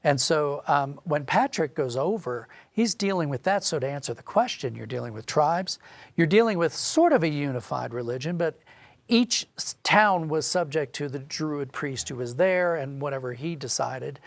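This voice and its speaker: Guy being interviewed, slightly high voice, thoughtful explainer, really dry close sound. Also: animated, moderate pace, vocal fry, no echo. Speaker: interview guest, audio drama writer. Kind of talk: conversational interview, explaining history.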